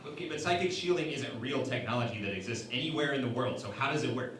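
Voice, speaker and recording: A man's voice: mid-range voice, fast talker, fairly bright tone, close to an everyday voice. A man playing a humanlike hologram crewman, curious, questioning and mildly sarcastic, in a parody sci-fi radio play. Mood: exasperated sarcasm. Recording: very echoey, distant mic, noisy.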